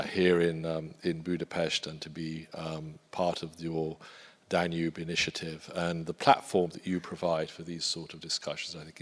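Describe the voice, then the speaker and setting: Man, Polish-born, English accent, very low voice, hesitant repeats, long hall reverb. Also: fairly bright tone. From Poland. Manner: halting, repeats words while searching for phrasing. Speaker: forum panelist. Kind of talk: conference talk. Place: conference hall.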